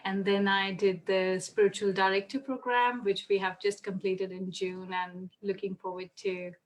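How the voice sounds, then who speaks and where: A woman on a live video call from home, hosting a relaxed spiritual conversation. She sounds effusive and laughs easily, her voice sitting at an everyday middle pitch. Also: moderate pace, poor audio. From Australia. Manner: warm, effusive, laughs often. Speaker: host.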